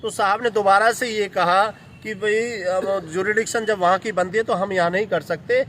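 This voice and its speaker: Man, lawyer, high-pitched, earnest press briefing, outdoors near traffic. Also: fairly even intonation, moderate pace, fairly bright tone. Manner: earnest, heavy with legal terms. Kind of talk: press interview.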